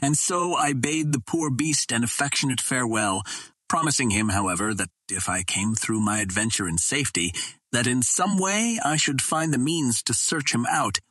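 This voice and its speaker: A man reading an adventure novel aloud as a storyteller, in a measured, formal way. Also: medium pitch, expressive, sharp tone, no room sound. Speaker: audiobook reader. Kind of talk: scripted literary narration of an adventure novel.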